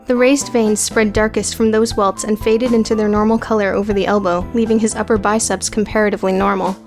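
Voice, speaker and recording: Woman, mid-pitched, dramatic audiobook narration, scripted, faint music behind. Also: little pitch movement, a little fast.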